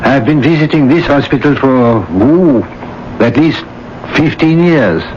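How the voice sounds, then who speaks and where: A man in a radio drama playing a devoted middle-aged French gentleman with a gentle, courtly, measured manner. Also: fairly high pitch, lightly acted. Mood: calm, smooth. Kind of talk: old-time radio drama acting. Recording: dull, faintly noisy old radio broadcast.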